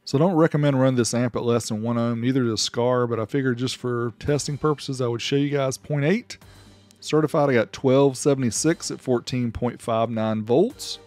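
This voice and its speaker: A man narrates a casual review in a plainspoken, informal way. His voice is dark and relaxed, of middling pitch, recorded close and dry with faint music underneath. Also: normal speed, no echo. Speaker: host reviewing car audio amplifiers.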